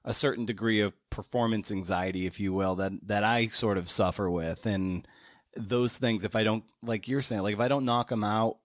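A man hosting a conversational interview podcast, speaking haltingly with repeated words and long, winding questions. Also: on the low side, steady tone, dry recording, clean studio sound.

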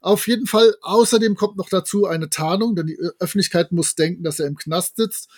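A man with a distinctly high-pitched voice talks as a podcast co-host. He retells and discusses a story in a casual, enthusiastic and appreciative way. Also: fairly quick, darkish tone, breathy edge.

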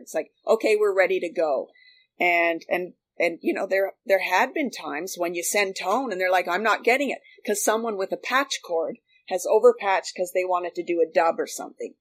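A middle-aged woman, a guest reminiscing casually, explaining things in animated, repeating loops. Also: mid-range voice. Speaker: guest, former radio studio technician.

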